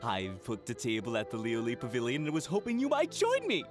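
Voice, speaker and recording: A man playing an awkward, flattering admirer in a video game, hesitant and deferential. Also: mid-range voice, a little fast, over music.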